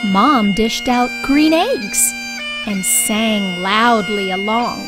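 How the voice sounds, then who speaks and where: A woman reading a children's story aloud with deliberate, expressive phrasing. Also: mid-range voice, music in the background. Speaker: storybook reader. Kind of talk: children's read-aloud narration.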